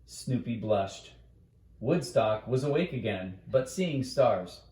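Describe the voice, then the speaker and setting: A man's voice: mid-range voice, lively intonation, slow, mellow. A man reading a bedtime story aloud as a storyteller, in a roomy, echoing recording.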